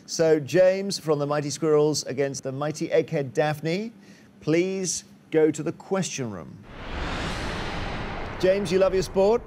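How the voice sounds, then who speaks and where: A man's voice: fairly high pitch, takes it slowly, noisy background. A British man hosting a TV quiz, moving between scripted links and banter with contestants. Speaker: quiz show host.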